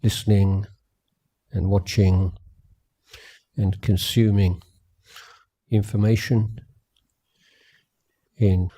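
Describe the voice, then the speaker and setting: Very slow, mellow, low-key man teaching meditation. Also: little pitch movement. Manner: measured, gentle, with long pauses. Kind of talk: guided meditation talk at a retreat.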